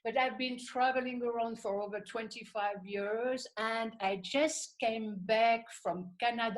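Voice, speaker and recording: A woman with a Swiss French accent talks as a guest in an interview, in a middle pitch. She laughs often and thinks aloud, warm and unhurried. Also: poor audio. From French-speaking Switzerland. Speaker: guest artist. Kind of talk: conversational interview.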